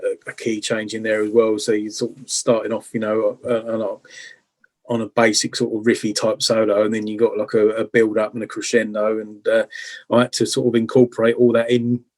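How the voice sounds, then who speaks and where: A man's voice: fairly low pitch, monotone, fairly quick, darkish tone. A British man chatting casually and informally as a guitarist being interviewed, often adding tag questions. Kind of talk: casual chat about music-making.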